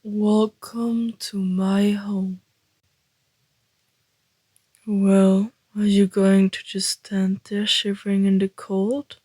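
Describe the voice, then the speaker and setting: Woman as male vampire, ASMR roleplay, flirty and teasing, husky half-whisper, subdued narrow intonation, courteous menace, unhurried. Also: medium pitch, level tone, dark tone, no room sound. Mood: inviting, flirty. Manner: slow, teasing, deliberate, breathy half-whisper. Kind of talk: ASMR roleplay, intimate scripted monologue.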